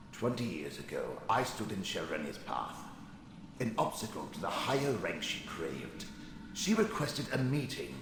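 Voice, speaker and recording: A man's voice: deep voice, big pitch swings, slow, exaggerated voice. A man playing the bitter, sardonic ghost of a murdered wizard in a video game, with a weary, theatrical delivery. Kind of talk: video game character acting. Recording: long ghostly reverb, some noise.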